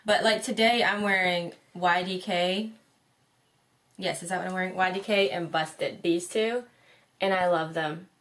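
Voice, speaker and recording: A woman's voice: lowish voice, fairly even intonation, measured pace, fairly bright tone. A young woman co-hosting a beauty vlog in casual chat, upbeat and giggly, chiming in often. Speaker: beauty vlogger co-host. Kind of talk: casual chat reviewing makeup.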